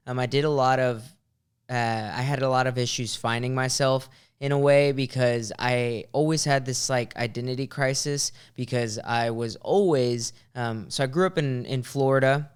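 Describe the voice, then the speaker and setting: A man's voice: mid-range voice, steady tone, moderate pace, smooth. A man in his twenties from Venezuela, a podcast guest talking earnestly and reflectively about himself, with frequent ums. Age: 20s. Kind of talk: casual podcast conversation.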